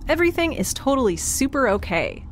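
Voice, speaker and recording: A woman's voice: high-pitched, big pitch swings, fairly quick, slight background noise. A woman playing a chatty, quirky, anxiously upbeat space dweller in an audio drama, rambling wryly and naturally. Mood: anxious, self-reassuring. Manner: rambling, wry, self-reassuring. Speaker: lead character. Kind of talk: naturalistic audio drama acting, talking to herself.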